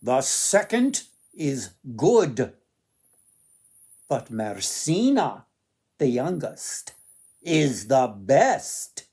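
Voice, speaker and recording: A man voicing the sun in a folktale, a stately judge who gives slow, emphatic pronouncements. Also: medium pitch, wildly swooping pitch, darkish tone, husky, exaggerated voice. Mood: solemn.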